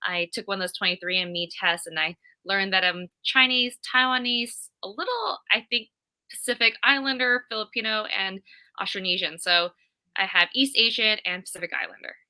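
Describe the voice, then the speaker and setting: A woman's voice: medium pitch, normal speed, sharp tone. A woman from California, upbeat and fluent, telling her story as an interview guest over a video call. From California, USA. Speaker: guest, creative director. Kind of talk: conversational interview.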